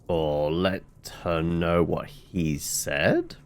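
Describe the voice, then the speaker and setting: A man's voice: sits low, very slow. A man giving casual playthrough commentary on a video game, playfully thinking aloud. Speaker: gaming video host. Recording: faint background noise, game ambience.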